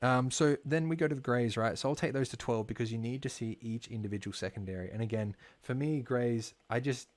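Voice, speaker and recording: A man talking casually while live-streaming a game, slightly hoarse, chatty and full of fillers, from a home streaming setup. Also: medium pitch, brisk, few pauses, darkish tone. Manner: chatty, filler-heavy, talks while playing. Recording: clear background music under voice.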